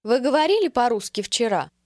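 A woman speaking carefully and clearly as the pronunciation model in a language course. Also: a little high, very animated, a little mellow, no room sound, studio quality. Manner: slow and carefully enunciated.

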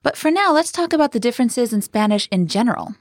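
A woman's voice: on the high side, expressive, fast talker, no room sound. A woman hosting a scripted language lesson with an upbeat teacher delivery, recorded close on a studio mic.

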